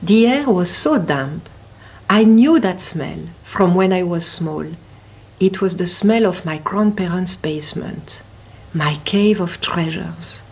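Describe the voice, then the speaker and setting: Slow-reading woman telling a children's story. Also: on the low side, lively intonation, a little mellow. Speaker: picture book reader at a library.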